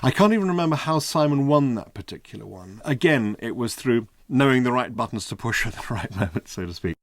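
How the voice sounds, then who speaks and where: Male interviewee, mid-pitched, close dry recording, recounting behind-the-scenes anecdotes. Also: lively intonation, brisk, no room sound.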